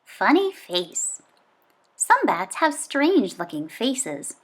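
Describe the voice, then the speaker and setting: A woman reading a children's nonfiction book aloud, expressively and with clear phrasing for young listeners. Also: fairly high pitch, wildly swooping pitch, slow.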